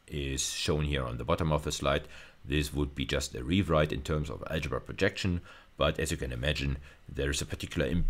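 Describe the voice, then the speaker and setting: Male university lecturer, very deep bass voice, step-by-step technical explanation, repeated and rephrased phrases.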